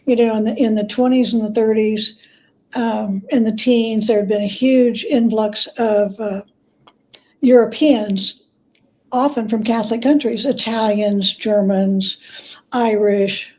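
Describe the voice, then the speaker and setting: Woman, higher-pitched, soft mellow tone, conversational expert interview, halting search for words. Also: steady tone, rough recording. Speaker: guest expert, biographer and scholar. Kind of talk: conversational interview, explaining history.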